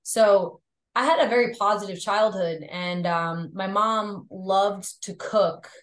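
A woman's voice: mid-range voice, takes it slowly. Woman guest, faint Long Island accent, loose and talkative, room echo on the voice. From Long Island, New York. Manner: chatty, heavy use of "like". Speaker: podcast guest. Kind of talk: casual interview chat. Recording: roomy echo.

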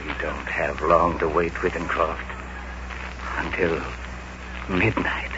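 A man's voice: very deep voice, steady tone, slow pace, over loud music. A man playing a large, fatalistic stone carver in a 1940s radio drama, insistent and portentous, with formal speech. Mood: subdued. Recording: muffled, noisy 1940s radio recording with hum.

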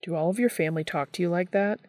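A woman reading a story aloud and voicing a calm, confident young man with an easygoing, self-assured way of talking. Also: on the low side, fast, no echo, clean studio sound, lightly acted.

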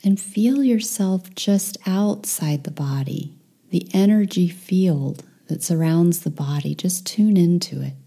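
A woman leading a guided meditation in a soft, breathy, near-whispered voice, gentle and soothing with long pauses. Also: lowish voice.

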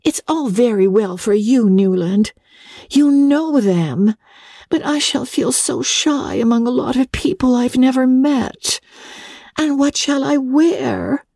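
A woman's voice: high-pitched, slow, warm tone, no echo, clean studio sound, voice pushed up, exaggerated voice. A woman voicing a shy young society bride in a storybook reading, plaintive, girlish and coaxing. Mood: anxious. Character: shy, pouting young American society bride fretting sweetly.

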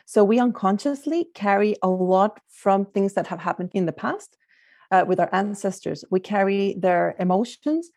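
Middle-aged woman from Guatemala, warm mellow tone, dry close sound, thoughtful and hesitant. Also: medium pitch, lively intonation, normal speed, no echo. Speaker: guest, life coach. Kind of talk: conversational interview answers.

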